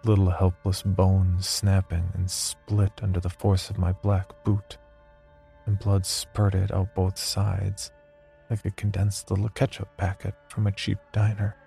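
A haunted, brooding man played by an actor confesses a dark diary in a horror audio drama. His voice is deep, dark and full of fry. He measures out his words as someone slowly unravelling, with faint noise behind him. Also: monotone, soft background music. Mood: cold, haunted. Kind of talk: horror audio drama monologue, first-person diary narration.

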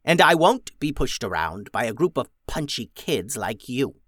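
A man's voice: mid-range voice, sing-song, moderate pace, fairly bright tone, no echo, studio quality. A man voicing an old female goblin leader in a storybook reading, shrewd and needling as she fires wry questions. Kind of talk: storybook character voice.

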